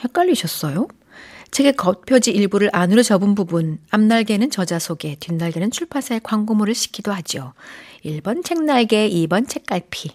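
A middle-aged woman hosting a radio show in a studio, chatting gently with listeners and often softening into a breathy near-whisper. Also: mid-range voice, lively intonation, a little fast. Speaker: radio host. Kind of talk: warm radio chat reading listener messages. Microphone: studio microphone.

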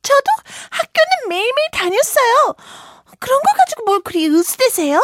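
A woman's voice: extremely high pitch, very animated, racing, dry recording, studio quality, pitched above the performer's own voice. A woman playing a pert, indignant little girl in a storybook, curious and protesting in a childlike way. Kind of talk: storybook character voice.

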